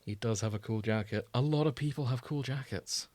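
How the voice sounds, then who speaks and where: A man's voice: medium pitch, a little fast, darkish tone, no echo. Casual male podcast co-host, dry close sound. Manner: chatty, informal, swears. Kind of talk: casual banter.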